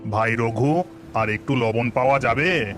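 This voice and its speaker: A man's voice: mid-range voice, a little slow, over music, lightly acted. Man voicing a kindly male ghost, cartoon. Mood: grateful, polite. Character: kindly male village ghost in human guise. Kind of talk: Bengali cartoon voice acting.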